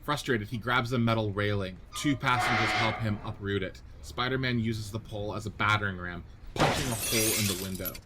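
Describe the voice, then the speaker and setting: A man's voice: on the low side, a little fast, few pauses, heavy background noise. A man reading scripted narration and stage directions for a radio play.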